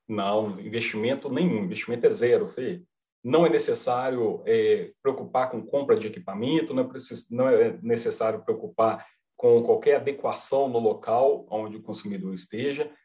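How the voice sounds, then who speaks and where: A man from Minas Gerais with a mineiro accent, calmly explaining a service as a podcast guest, checking in with "tá?". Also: medium pitch, moderate pace. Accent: Mineiro Brazilian Portuguese. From Minas Gerais, Brazil. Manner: patient, reassuring explainer, many "tá?" checks. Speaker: company representative guest. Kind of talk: podcast interview, explaining a product.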